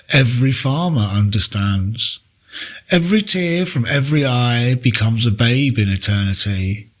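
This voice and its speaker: A man reading poetry aloud in a deliberate, measured way with pauses between phrases. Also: medium pitch. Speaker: poetry reader. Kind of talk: poetry recitation, read aloud.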